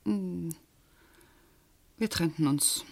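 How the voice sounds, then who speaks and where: An actress playing a self-controlled bank official in a radio play, answering precisely and guardedly in short, restrained phrases. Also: female voice, on the low side, drawn-out words, creaky, darkish tone, full character voice. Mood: controlled, terse. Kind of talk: German radio play acting.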